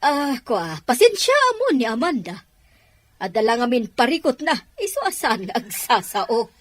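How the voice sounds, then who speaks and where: A woman playing a placating, pushy middle-aged mother in an audio drama, gushing and eager to smooth things over. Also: fairly high pitch, very animated, measured pace, no echo. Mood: apologetic.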